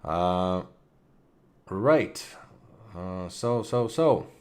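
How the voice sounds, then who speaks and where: A man with a fairly low voice, slightly hoarse from a recent cold, talks casually to his stream audience. He hesitates often, restarts his sentences and stops to clear his throat. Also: animated, drawn-out words, darkish tone. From Russia. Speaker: community manager of a game studio. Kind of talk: casual livestream chat with viewers.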